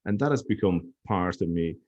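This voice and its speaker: A man's voice: sits low, lively intonation, normal speed, darkish tone, muffled recording. A man chatting as a podcast guest, telling stories in a reflective, easygoing way. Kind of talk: casual chat.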